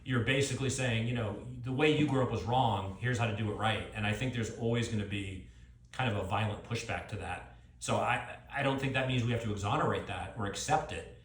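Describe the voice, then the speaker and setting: A man's voice: on the low side, a little fast. A middle-aged man talking off the cuff as an author at a live event, reflective and often circling back to rephrase his points. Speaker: author speaking at a book event. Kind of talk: thoughtful extemporaneous talk and audience Q&A. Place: live book event with an audience. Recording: roomy, echoey live event sound.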